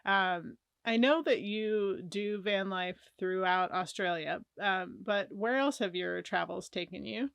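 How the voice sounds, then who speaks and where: A woman's voice: mid-range voice, measured pace, creaky, few pauses, fairly bright tone, clean tone, no room sound, clean studio sound. Encouraging American woman host. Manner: encouraging, exclaims often. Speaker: podcast host. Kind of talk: casual interview.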